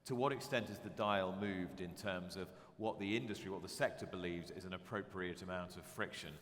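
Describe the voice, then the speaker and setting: A man's voice: low voice, a little fast, a bit bright. A man moderating a conference panel, asking probing questions and piling up phrasings. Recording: conference hall reverb.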